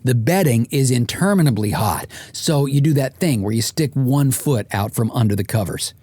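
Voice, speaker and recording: A man's voice: medium pitch, animated, moderate pace, dark tone, husky, no room sound. A man reading a scripted audiobook as its author, telling it in a wry, comic way with exasperated emphasis. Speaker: author and podcast host narrating.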